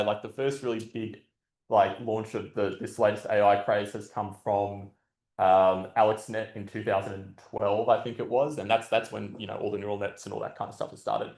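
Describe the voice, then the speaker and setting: A loud, blunt man speaks on stage in a large conference hall, his fairly low voice carrying a roomy echo off the walls. He talks loosely into a podium microphone, with plenty of filler sounds and the odd swear. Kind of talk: casual conference talk.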